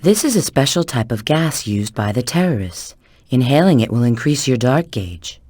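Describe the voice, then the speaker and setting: A self-assured female field agent from a video game. Her pitch moves around in a lively way as she briefs an ally with clipped, instructive tips. The recording is dry, with no room sound. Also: moderate pace, dry recording, full character voice. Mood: instructive, confident. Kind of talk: video game tutorial and mission dialogue.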